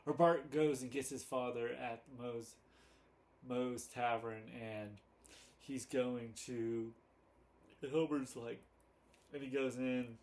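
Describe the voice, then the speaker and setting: Slow-talking man, halting and rambling. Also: medium pitch, steady tone, a little mellow. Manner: halting, rambling, often trailing off and correcting himself. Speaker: video host, fan commentator. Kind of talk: casual unscripted chat to camera. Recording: noticeable background noise.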